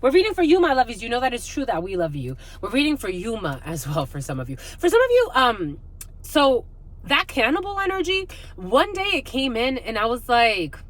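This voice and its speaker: A woman's voice: on the high side, wildly swooping pitch, moderate pace. A woman hosting an intuitive spiritual reading, talking casually and off the cuff, rambling and repeating her phrases with "like" thrown in often.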